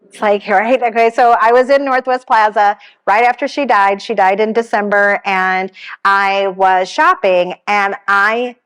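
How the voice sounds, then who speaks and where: Gentle woman counseling the grieving. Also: mid-range voice, fairly even intonation, measured pace, smooth. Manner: gentle, reassuring, shares personal stories; says she has a pretty strong voice. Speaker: grief counselor giving a seminar. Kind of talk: conversational presentation to an audience. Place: funeral home seminar room.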